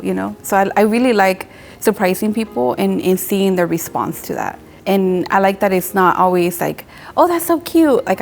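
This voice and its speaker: Gravelly-voiced woman with soft music. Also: mid-range voice. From Mexico. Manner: relaxed, rambling, frequent "like" fillers. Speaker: tattoo artist and painter. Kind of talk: casual interview monologue.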